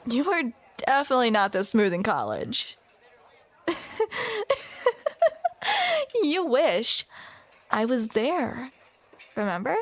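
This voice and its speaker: A young woman with a high voice acts out a romantic comedy audio roleplay into a close mic. She talks at an unhurried pace, flustered and playful, with awkward little laughs.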